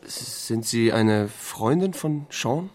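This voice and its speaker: A man's voice: medium pitch, animated, takes it slowly, darkish tone. A man playing a laconic small-town sheriff who tells his own story in an audio drama, with a dry, understated manner. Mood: dry, probing. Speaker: narrator and lead actor. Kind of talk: first-person audio drama narration with dialogue.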